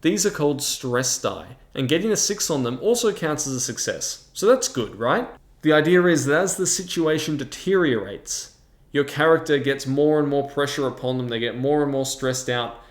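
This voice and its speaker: A man presents a review as the host, explaining things in a measured way with mid-range pitch. His narration sounds semi-scripted, and the room adds a clear echo around his voice. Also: lively intonation. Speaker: host of a tabletop game review channel. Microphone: large microphone in front of him. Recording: echoey, reverberant room.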